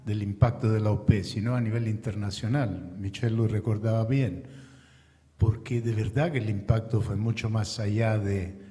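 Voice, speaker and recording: A man speaks up from the audience in a lecture hall. His voice sits fairly low and mellow, and he talks in a discursive way, circling toward his question. A long hall reverb hangs on his words. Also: steady tone, normal speed. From Italy. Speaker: audience member asking a question.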